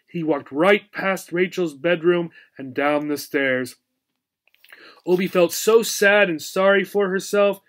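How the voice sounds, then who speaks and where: A man reading a children's book aloud as a teacher, in an expressive storybook narration style. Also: high voice.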